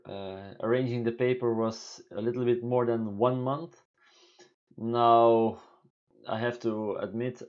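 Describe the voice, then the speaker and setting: Deep-voiced man, halting live chat. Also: darkish tone, dull audio. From Belgium. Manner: halting, many fillers and restarts, non-native English phrasing. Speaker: vlogger answering viewer questions on a live stream. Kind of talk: casual live Q&A talk. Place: small room indoors at home.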